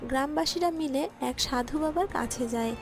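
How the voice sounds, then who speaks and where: A woman's voice: high-pitched, moderate pace, over music. A woman giving scripted narration for a cartoon story.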